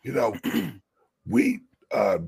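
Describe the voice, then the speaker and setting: Slow raspy man with gravelly voice. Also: lowish voice, huge pitch swings, a little mellow. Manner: emphatic, rambling with restarts. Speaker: crypto show host. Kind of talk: casual political chat.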